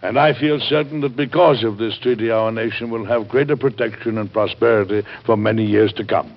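A muffled old radio recording carries a man playing an American president in a 1940s drama. He is a warm, earnest statesman with a wry streak, speaking emphatically and theatrically at a middling pitch. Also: steady tone, a little fast, close to an everyday voice. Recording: dull vintage radio recording.

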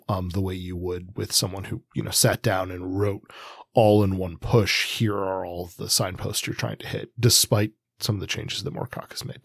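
Male co-host, middle-aged, slightly low voice, dry recording. Thoughtful, measured book talk. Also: creaky, no echo. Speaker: podcast co-host. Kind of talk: casual literary analysis.

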